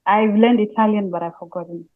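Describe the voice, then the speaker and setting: A woman's voice: medium pitch, fairly even intonation, fairly quick. A woman from Tanzania with a Tanzanian accent, a native Swahili speaker, answering interview questions conversationally as a language teacher. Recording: muffled, phone-like band-limited call audio.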